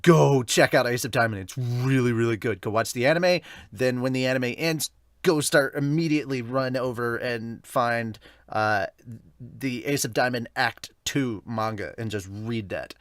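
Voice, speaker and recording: A man's voice: medium pitch, moderate pace, fairly bright tone, no room sound, studio quality. A man hosting a podcast, chatting casually and rambling, often correcting himself as he reviews manga. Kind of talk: casual chatty review intro with live commentary.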